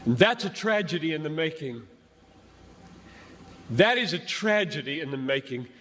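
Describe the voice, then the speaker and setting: A man preaching an impassioned sermon to a crowd as a pastor, speaking emphatically and deliberately with dramatic pauses between short phrases. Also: fairly high pitch, animated, fairly bright tone. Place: large gathering before a crowd. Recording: echoey large venue, long reverb.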